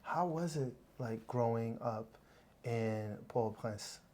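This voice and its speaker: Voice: mid-range voice, slow, mellow. A podcast host introducing and interviewing a guest in a friendly, chatty way. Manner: friendly, chatty, jokes about himself or herself. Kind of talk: interview hosting.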